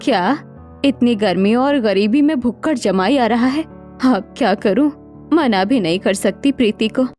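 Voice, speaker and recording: A woman's voice: a little high, unhurried, a little mellow, faint music behind, lightly acted. Plaintive poor old mother-in-law voiced by an actress. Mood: worried. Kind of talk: Hindi cartoon story dialogue.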